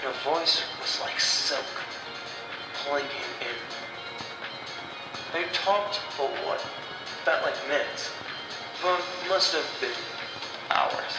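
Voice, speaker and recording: Echoey, slow male horror storyteller. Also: fairly high pitch. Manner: deliberate, dramatic delivery with pauses. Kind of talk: scripted horror narration. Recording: echoey, distant-sounding voice over music. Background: music.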